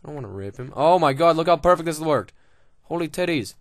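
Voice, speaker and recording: A man's voice: a little high, lively intonation, fast talker, dry recording, studio quality. A young man casually commenting on his game, rambling and joking with lots of swearing and fillers. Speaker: gaming video host.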